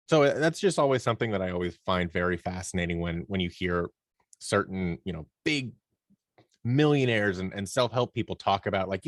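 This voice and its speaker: A man talking casually as an interview guest, explaining his ideas in a conversational, animated way. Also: fairly low pitch, no room sound, studio quality. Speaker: podcast guest, audio drama producer and performer.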